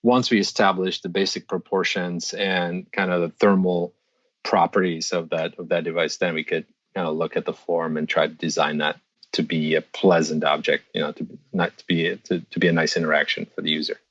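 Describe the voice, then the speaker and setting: Very deep-voiced Czech-born designer, measured. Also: male voice, level tone, fairly bright tone, dry recording, highs cut off. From Czech Republic. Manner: thoughtful, restarts often, measured. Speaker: guest, designer and metalsmith. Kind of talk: interview answers.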